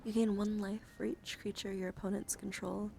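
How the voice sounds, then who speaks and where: Asmr girl streamer, woman talking soft n gentle w lots of whispering, noticeable noise behind her. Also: medium pitch, flat delivery, normal speed, creaky. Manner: soft-spoken, often dropping into whispers; gentle, soothing, encouraging. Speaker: livestream host showing trading cards. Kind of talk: ASMR, soft casual chat.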